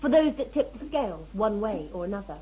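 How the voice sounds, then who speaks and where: A woman's voice: mid-range voice, big pitch swings, quick pace, dark tone, lightly acted. A woman playing an earnest, blunt savage huntress and devoted servant in a sci-fi audio drama, with formal, literal speech. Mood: earnest, proud. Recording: noisy amateur audio-drama tape.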